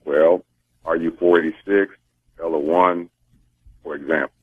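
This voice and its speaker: A man with an American accent gives interview answers by telephone. His voice is low and rough-edged, and his manner is careful and insistent. The line is muffled and thin, cutting off much of the sound. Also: steady tone, measured pace, dry recording. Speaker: interviewed campaign spokesman. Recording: muffled, band-limited phone line.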